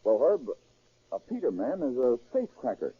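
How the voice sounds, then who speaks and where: A man's voice: mid-range voice, sing-song, moderate pace, breathy edge. An actor playing a brash, cajoling crook who persuades his brother, in a 1930s radio drama. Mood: casual, explaining. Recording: tinny, scratchy 1930s radio recording.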